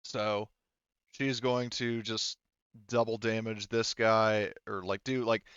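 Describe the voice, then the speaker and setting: Male game master, smooth lowish voice, halting think-aloud delivery at the table, dry but slightly dull lo-fi audio. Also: level tone, fairly bright tone, no echo. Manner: halting, thinking aloud while working through rules and dice. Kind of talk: running a tabletop role-playing game, casual chat.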